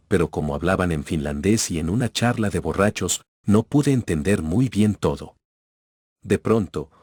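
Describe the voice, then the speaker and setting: A man narrating scripted horror stories in a measured, ominous storytelling manner. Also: deep voice, darkish tone, breathy edge, dry recording. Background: background music.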